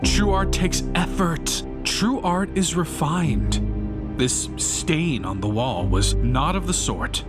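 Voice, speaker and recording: A man's voice: a little high, animated, takes it slowly. A man performing a bitter, self-righteous failed painter turned fanatical vandal in an intense, rhyming dramatic monologue. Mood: scornful, then menacing resolve. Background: music behind the voice.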